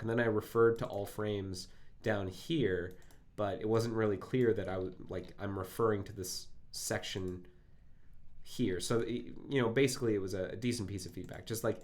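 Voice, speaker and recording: A man with a phlegmy throat from a cold hosts a live coding stream, thinking aloud in halting, self-correcting speech full of fillers. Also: fairly low pitch, creaky.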